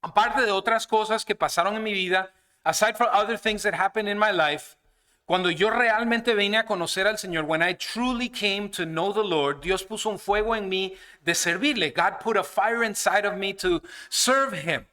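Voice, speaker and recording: High, bright-voiced bilingual guest preacher. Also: male voice, normal speed. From Canada. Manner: alternates each phrase between two languages, earnest. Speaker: guest pastor. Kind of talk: bilingual sermon and prayer. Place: church sanctuary.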